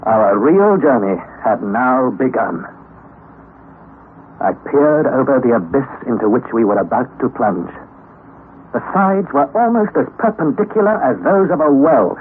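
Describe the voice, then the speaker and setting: An actor playing an earnest young nephew who recounts a perilous expedition in measured, theatrical old radio-drama style. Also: male voice, medium pitch, expressive, phone-quality sound, lightly acted. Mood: grave, suspenseful. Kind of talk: dramatic first-person narration, old-time radio acting. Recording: thin, band-limited vintage radio recording, noisy.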